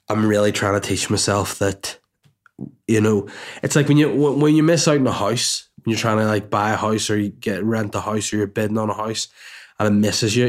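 A man with a somewhat low voice, thick and stuffy from a cold, talks straight to his listeners from home. He rambles through a personal story in a chatty, anecdotal way, with swearing and side remarks. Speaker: podcast host and comedian. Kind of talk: casual storytelling monologue.

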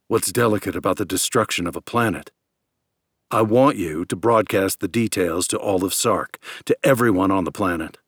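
A man's voice: deep voice, moderate pace, fairly bright tone, dry recording, studio quality. A man voicing an agitated, suspicious space scientist in an audiobook, insistent and accusatory, verging on hysteria. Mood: demanding.

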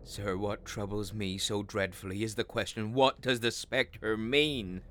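An actor playing a troubled railway signalman in a radio ghost story, speaking gravely and haltingly with dramatic intensity. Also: lowish voice, fairly even intonation, a little hiss or hum. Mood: desperate anguish. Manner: grave, halting, dramatic storytelling with hushed drops and anguished outbursts. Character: haunted, troubled railway signalman telling of a spectre. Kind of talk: radio play acting of a Victorian ghost story.

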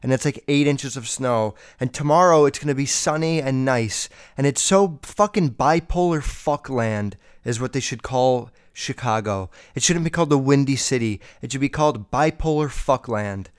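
Young guy rambling on his podcast, swears constantly, kinda nasal, smooth clean voice. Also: mid-range voice, expressive, moderate pace, few pauses. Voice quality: slightly nasal. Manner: rambling, heavy swearing, many fillers. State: feeling sick. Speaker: podcast host. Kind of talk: casual solo podcast chat. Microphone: podcast microphone.

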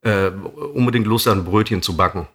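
A man's voice: fairly low pitch, fast talker, a bit bright, breathy edge. A middle-aged man with a cold and a slightly nasal voice, co-hosting a podcast, rambling defensively with lots of fillers. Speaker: podcast co-host. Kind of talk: casual podcast banter.

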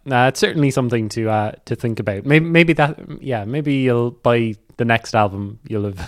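A man's voice: mid-range voice, normal speed. A man hosting a casual, conversational interview, putting his questions informally.

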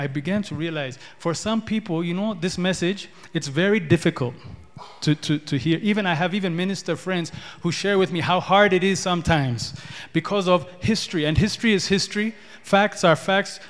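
A man from Ethiopia preaching a sermon, repeating words and building in rising declarations. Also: sits high. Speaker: pastor. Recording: long hall reverb.

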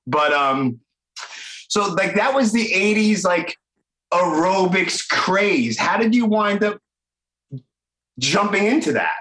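A man's voice: high-pitched, animated, slow pace, long pauses. A man in his forties with a Brooklyn accent, hosting a casual podcast interview in a rambling, chatty way. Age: 40s. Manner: chatty, warm-hearted, rambling. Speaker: podcast host. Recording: echoey room.

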